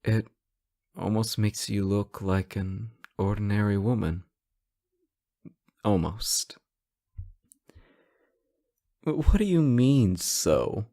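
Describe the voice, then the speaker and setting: Young male superhero played by a man, romantic audio roleplay. Slow-paced, playful, bashful, close dry sound. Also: lowish voice, dark tone, dry recording. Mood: playful, gentle, then flustered, shy. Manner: playful, stammering when shy. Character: teasing, flustered male superhero smitten with his nemesis. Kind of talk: romantic roleplay audio acting, addressed to listener.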